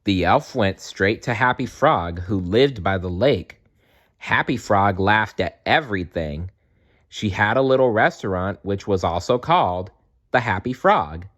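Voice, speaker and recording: A man's voice: lowish voice, animated, measured pace, a bit bright. A man narrating a children's story read aloud. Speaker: storybook reader.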